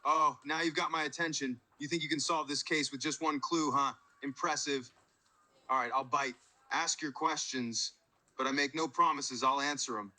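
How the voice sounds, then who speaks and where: A man's voice: a little high, fairly even intonation, moderate pace, sharp tone. A man playing a cocky, taunting criminal under interrogation in a superhero audio drama, with a mocking, theatrical, slangy delivery.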